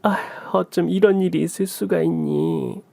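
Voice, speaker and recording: A man playing a soft, remorseful birth mother. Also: high voice, huge pitch swings, normal speed, creaky, no echo, voice pushed up, heavily acted. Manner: soft, apologetic. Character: middle-aged birth mother, remorseful and calm. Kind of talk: story radio drama reading.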